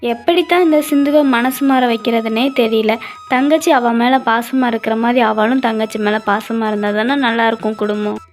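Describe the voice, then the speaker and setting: High-voiced exasperated mother, acted by a woman. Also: moderate pace, faint music behind, close to an everyday voice. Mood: worried. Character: exasperated, scolding mother of two young daughters. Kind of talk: dramatized animated moral story.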